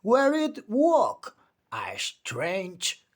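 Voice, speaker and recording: A man reading a children's picture book aloud, with theatrical delivery and drawn-out emphasis on key words. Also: high-pitched, huge pitch swings. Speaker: children's storytime reader.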